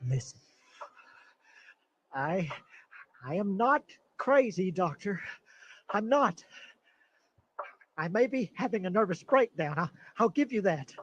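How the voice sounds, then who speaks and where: Guy doing a jittery paranoid man who hates cars, high stammery voice, slow then shrill, muffled old sound. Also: big pitch swings, slightly rough, exaggerated voice. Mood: defensive. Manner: frantic, stammering, rising to shrill outbursts. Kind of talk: old-time radio drama acting. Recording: dull lo-fi recording.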